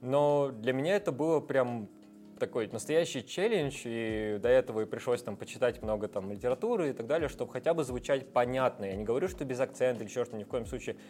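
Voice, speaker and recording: A man's voice: medium pitch, lively intonation, fast talker, darkish tone, soft background music. A man guest in a casual interview, explaining technical ideas at length with frequent hesitation sounds. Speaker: guest, software developer.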